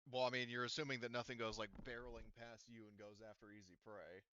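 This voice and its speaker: A man acting as game master, casually narrating and refereeing a tabletop game in a halting, think-aloud way. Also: medium pitch, little pitch movement, sharp tone, muffled recording, a little hiss or hum. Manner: halting, thinking aloud while working out details.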